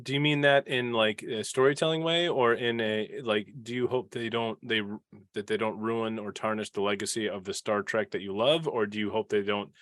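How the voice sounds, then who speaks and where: Low-pitched man chatting. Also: steady tone, normal speed, fairly bright tone, no echo, studio quality. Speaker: podcast panelist. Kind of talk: casual panel discussion.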